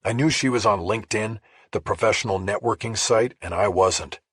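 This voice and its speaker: Guy reading a crime novel, deep-ish and really husky, super dry sound. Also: moderate pace, a bit bright, dry recording. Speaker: audiobook narrator. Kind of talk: scripted first-person crime thriller narration.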